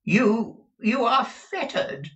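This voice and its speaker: A man voicing a frightened old miser who cries out in a high, cracking, pleading voice. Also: very animated, takes it slowly, pushed and bright, echoey room, recorded from a distance, muffled recording, exaggerated voice. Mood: terrified.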